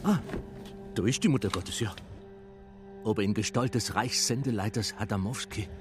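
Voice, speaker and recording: A man's voice: on the low side, moderate pace, natural voice. A male actor as a devout, anxious mountain man. He is folksy, prayerful and bewildered, in a radio-drama style. Faint music lies underneath. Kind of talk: German radio play acting.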